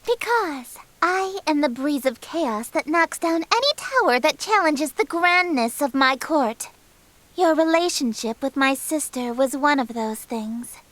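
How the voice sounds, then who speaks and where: A woman's voice: sits high, expressive, a little slow, fairly bright tone, dry recording. A woman playing a regal eldritch queen of chaos in a game, speaking formally and imperiously with menacing sweetness and theatrical condescension. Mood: grandiose, cold. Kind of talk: visual novel game character acting.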